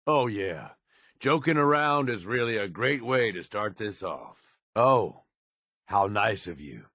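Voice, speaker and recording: A man's voice: medium pitch, creaky, pushed and bright, no room sound, studio quality, full character voice. An actor playing a cynical, foul-mouthed, hard-bitten raider henchman in a video game, talking in blunt tough-guy slang. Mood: irritated. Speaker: game companion character.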